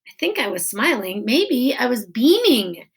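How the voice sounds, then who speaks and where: A woman reading a children's novel aloud in a deliberate, expressive storytelling style with weighted pauses. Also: a little high, breathy edge. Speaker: teacher reading a book aloud.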